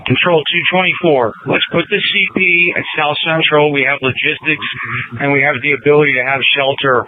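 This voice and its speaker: A man's voice: fairly high pitch, fairly even intonation, moderate pace. A male police officer coordinating and giving procedural directions over a police radio. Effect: police radio transmission. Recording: narrow tinny police scanner radio audio.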